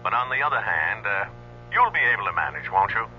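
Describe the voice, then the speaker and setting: An actor playing an apologetic senior doctor in a radio drama, hesitant and measured. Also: male voice, fairly low pitch, sing-song, slight background noise. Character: senior male doctor, apologetic, reserved boss. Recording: muffled radio recording, faint music.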